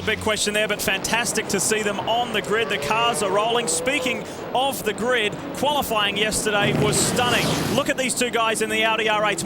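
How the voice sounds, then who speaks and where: A man doing lead commentary for a televised sports car race, polished and building excitement. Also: high voice, heavy background noise. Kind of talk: broadcast motorsport commentary.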